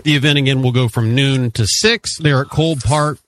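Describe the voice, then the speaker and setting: A man's voice: medium pitch, brisk, fairly bright tone, a little hiss or hum. A man hosting a call-in radio talk show in the studio, upbeat and chatty. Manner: upbeat, chatty, reads promos. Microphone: studio mic.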